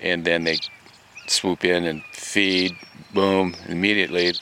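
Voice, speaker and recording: A man's voice: sits low, flat delivery, measured pace, pushed and bright, a little hiss or hum. A man who farms, talking casually in an interview in a plain, conversational way. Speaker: farmer.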